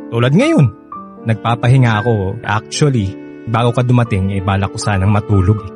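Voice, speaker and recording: An actor playing an evasive, apologetic young boyfriend in a radio drama, hesitant and casual, with a husky voice and a Filipino accent. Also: male voice, on the low side, expressive, a little fast, over music, close to an everyday voice.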